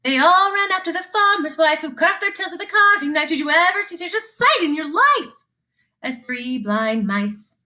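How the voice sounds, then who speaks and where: A woman teacher giving a sing-song read-along of a children's story, with deliberate, repeated phrasing. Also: very high voice, expressive, sharp tone. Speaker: teacher reading aloud.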